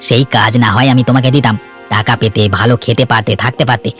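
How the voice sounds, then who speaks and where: A man performs a villainous city trader in a cartoon tale. He talks fast in a medium pitch, sly and self-assured as he coaxes, over background music. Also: level tone, fairly bright tone, close to an everyday voice. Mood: insinuating. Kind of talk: Bengali cartoon fairy-tale dialogue.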